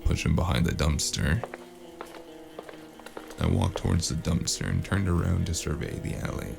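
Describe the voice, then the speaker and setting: A man narrating a noir audio drama as the lead, dry and wry, with a deadpan manner, over a music score. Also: sits low, moderate pace, creaky. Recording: music score playing underneath.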